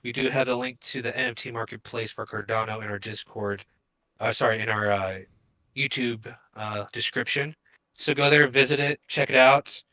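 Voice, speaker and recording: A man speaks as a co-host on a casual live stream. His voice sits at a middle pitch with a bright, forceful edge. He talks informally, working without a script. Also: fairly even intonation, normal speed, poor audio. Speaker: podcast co-host.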